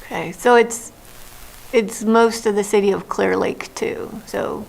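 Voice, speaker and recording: Woman with a very creaky voice. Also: medium pitch, steady tone, unhurried, rough recording. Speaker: board member at public meeting. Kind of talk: meeting discussion.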